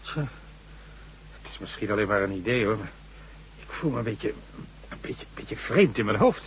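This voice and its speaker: An actor playing an uneasy, questioning construction boss in a Dutch radio drama, halting and tentative. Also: male voice, lowish voice, expressive, a little hiss or hum, natural voice. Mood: uneasy, faint. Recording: muffled, humming old radio recording.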